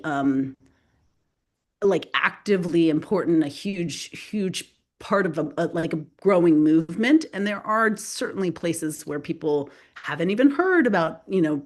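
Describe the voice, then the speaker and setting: A woman's voice: on the low side, measured pace. A woman whose first language is Spanish, a guest author talking loosely in an interview with many fillers and restarts. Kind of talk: casual spoken interview.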